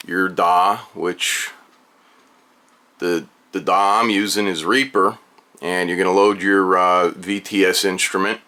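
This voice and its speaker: A man's voice: lowish voice, bright, forceful tone. A man casually presenting a hands-on how-to, talking directly to viewers. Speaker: tutorial presenter.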